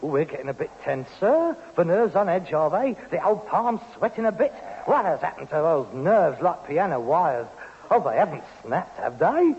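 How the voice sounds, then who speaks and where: An actor playing a pompous, self-pitying grown son with a London accent and mock-grand airs, declaiming melodramatically in an old British radio sitcom. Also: male voice, fairly high pitch, very animated, normal speed. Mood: mocking, theatrical. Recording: muffled old radio recording, hiss, long reverb.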